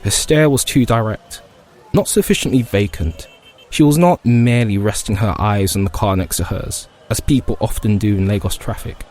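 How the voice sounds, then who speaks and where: A man's voice: fairly low pitch, moderate pace, a little mellow. A man narrating literary fiction as a dramatic voice actor's reading. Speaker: voice actor performing a reel.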